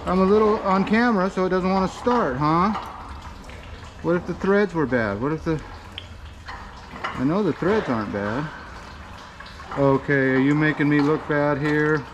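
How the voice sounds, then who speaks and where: Folksy middle-aged American man, higher voice. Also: a little slow, long pauses, lo-fi sound, noisy background. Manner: folksy, rambling, talking to himself and the viewer. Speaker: vlogger and tradesman. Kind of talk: casual first-person vlog narration.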